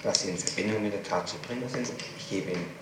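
A man speaking as a public prosecutor in a formal, halting manner on an old 1960s interrogation tape. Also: lowish voice, crowd noise. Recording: noisy, echoey 1960s archive tape.

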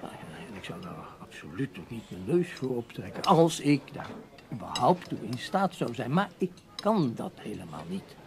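Dutch radio drama: proud, resigned middle-aged man of letters, wordy and hesitant, creaky voice with big swoops of intonation. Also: medium pitch, big pitch swings, normal speed. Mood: resigned, protesting. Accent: Dutch, Netherlands. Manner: wordy, hesitant, protesting with repeated little words. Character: proud, resigned middle-aged literary newspaper writer, gentlemanly. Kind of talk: Dutch radio play acting.

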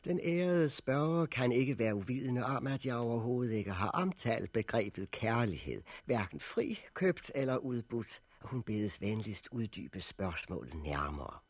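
An actor playing an elderly sociology professor in a 1960s radio drama, giving a formal, deliberate lecture with pedantic, unsentimental authority. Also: male voice, medium pitch, very animated, husky, no room sound. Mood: dry, haughty. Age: older. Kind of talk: 1960s radio drama acting, public speech.

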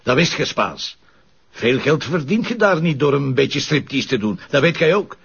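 An actor playing a gruff, impatient Flemish police commissioner, blunt and commanding, in a naturalistic TV crime drama. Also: male voice, medium pitch, expressive, normal speed, a bit bright, heavily acted. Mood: exasperated. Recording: muffled, noisy TV drama soundtrack.

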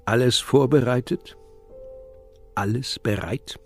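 A man acting an earnest, devoted festival impresario in a radio play, husky and gravelly, reassuring and ceremonious. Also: mid-range voice, slow, faint music behind. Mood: soothing.

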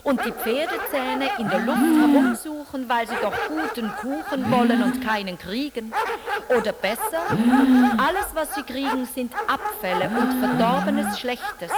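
An actress playing a mock-earnest, cruelly bitter female narrator in an experimental radio drama, reciting in a declamatory, deliberate, ironic way. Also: sits high, expressive, over a noisy crowd. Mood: gleefully cruel.

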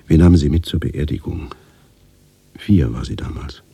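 Radio drama narrator, sober middle-aged doctor looking back; very husky, mellow, reflective delivery. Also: male voice, normal speed, dry recording, exaggerated voice. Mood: reflective, subdued. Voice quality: low, husky, breathy. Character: sober male doctor recalling his past. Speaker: first-person narrator.

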